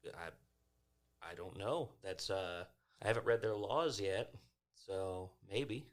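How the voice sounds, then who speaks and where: A man playing a calm, aloof prisoner in a tabletop fantasy game, nonchalant and detached. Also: deep voice, slow. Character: calm, aloof human prisoner absorbed in a book.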